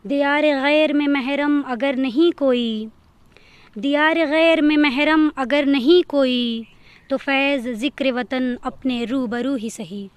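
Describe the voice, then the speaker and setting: A woman with a high voice recites ghazal verse. She takes it slowly and deliberately, giving each couplet a measured delivery. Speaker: poetry reciter. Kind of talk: ghazal recitation with repeated lines.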